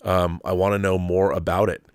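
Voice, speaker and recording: A middle-aged man hosting a casual livestream, talking emphatically and haltingly, often repeating words and rephrasing as he thinks aloud. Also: low voice, level tone, smooth, no echo, clean studio sound. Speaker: livestream host. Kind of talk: casual live chat answering viewer messages.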